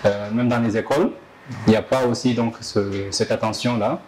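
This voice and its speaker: A man's voice: medium pitch, steady tone, moderate pace, crowd noise. A man in a conversational panel discussion, circling his point hesitantly and often repeating phrases.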